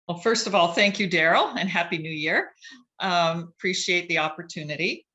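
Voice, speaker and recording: A man's voice: high-pitched, moderate pace, sharp tone. A man from Canada answering interview questions about his career, thoughtful and easygoing, with frequent fillers and restarts. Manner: thoughtful, many fillers and restarts, laughs lightly. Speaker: interview guest, chief IP counsel.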